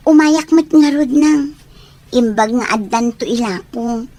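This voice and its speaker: A woman's voice: fairly high pitch, unhurried, warm tone. A woman playing a sincere, wounded young daughter with a Filipino accent in an Ilocano radio drama. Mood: everyday, willing.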